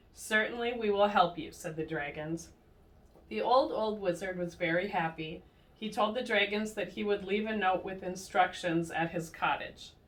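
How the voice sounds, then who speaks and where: A woman's voice: mid-range voice, a bit bright. A woman reading a children's storybook aloud. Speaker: storybook reader. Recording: distant mic.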